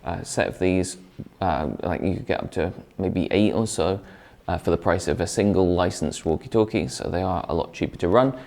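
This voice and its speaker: A man's voice: low voice, moderate pace, creaky, darkish tone. A man presenting a product review in a conversational, explanatory way, partly scripted.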